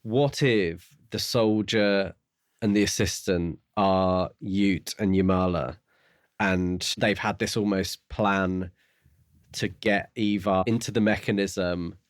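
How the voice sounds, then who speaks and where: A man's voice: low-pitched, steady tone, slow, no echo, clean studio sound. A man co-hosting a podcast, musing and thinking aloud in a casual discussion of books. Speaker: podcast co-host.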